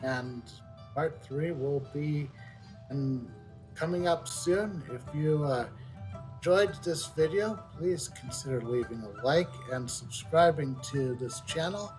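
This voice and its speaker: A man hosting a gaming channel, talking casually with a hesitant, halting manner. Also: a little high, rough recording. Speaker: gaming streamer. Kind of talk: casual outro talk. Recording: faint game music behind.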